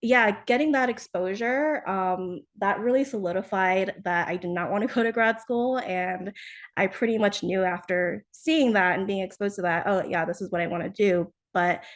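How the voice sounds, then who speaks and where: A young woman guest talks through her background in a relaxed, rambling way. She uses plenty of fillers and laughs softly between thoughts. Her voice sits at a middle pitch for a woman. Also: normal speed. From New Jersey, United States. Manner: chatty, many fillers and soft laughs. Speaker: guest, startup founder. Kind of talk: casual interview answers.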